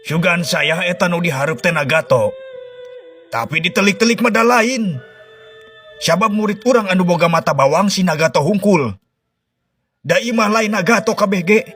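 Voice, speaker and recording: A man's voice: high voice, moderate pace, fairly bright tone, soft background music. Man voicing a blustering old cartoon ninja master. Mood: puzzled, brash. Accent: Sundanese. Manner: coarse, blustering, scolding. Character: cheeky, hot-tempered old male ninja master in a parody cartoon. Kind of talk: comic parody cartoon voice acting.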